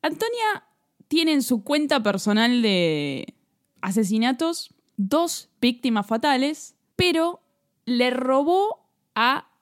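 A woman's voice: high voice, big pitch swings, slow. A woman with an Argentine accent co-hosting a true-crime podcast, telling a case in casual chat, laughing often and restarting her sentences. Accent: Argentine Spanish.

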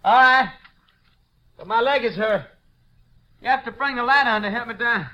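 An actor playing a cornered, defiant young outlaw with a strained, shouting delivery in a 1950s radio western. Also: male voice, very high voice, moderate pace, highs cut off, exaggerated voice. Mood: surrendering, strained.

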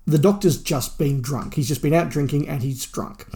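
A man's voice: fairly high pitch, moderate pace, warm tone. A man co-hosting a podcast, giving opinionated, emphatic views in a casual review discussion.

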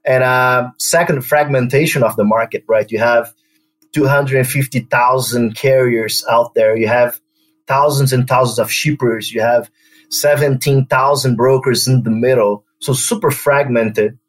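A man from Brazil with a Brazilian accent, speaking as an interview guest in a measured way, often adding "uh" and "right?". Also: mid-range voice, steady tone. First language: Portuguese. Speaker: guest, company co-founder. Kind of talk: conversational interview.

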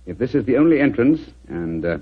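An actor playing a shrewd, unruffled British detective with a dry wit in a 1940s radio drama. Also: male voice, medium pitch, very animated, fairly quick. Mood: wry, careful. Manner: crisp, wry, urbane. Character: shrewd, unruffled English bachelor detective, dryly witty. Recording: dull, noisy old 1940s radio recording.

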